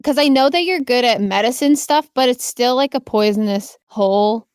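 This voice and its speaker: Higher-voiced woman running a tabletop game. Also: normal speed, a little mellow, clean tone, no room sound, clean studio sound. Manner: encouraging, informal, slangy. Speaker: game master. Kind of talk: casual improvised tabletop game narration.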